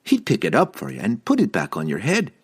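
A man voicing a firm, sharp-tongued young woman in an audiobook, assertive and rustic in her speech. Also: fairly low pitch, huge pitch swings, a little fast, breathy edge, exaggerated voice. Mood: wry.

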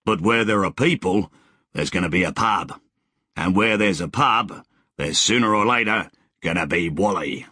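Guy doing a cheery grizzled aussie fella, slangy and breezy, a bit husky. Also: low-pitched, normal speed, pushed and bright, no room sound, studio quality, heavily acted. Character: cheerful grizzled older Australian man.

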